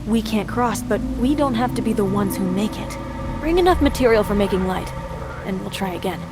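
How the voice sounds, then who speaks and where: An actress playing a calm, resourceful young woman traveler who plans things out, in a video game cutscene. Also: medium pitch, fairly quick, very noisy, natural voice. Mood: thoughtful.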